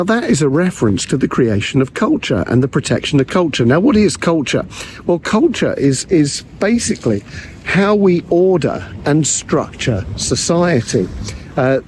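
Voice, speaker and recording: A man, a pastor and theologian, giving a measured teaching talk to camera with frequent ums and uhs, his voice a little croaky from recent illness. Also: fairly high pitch, big pitch swings, darkish tone, slight background noise.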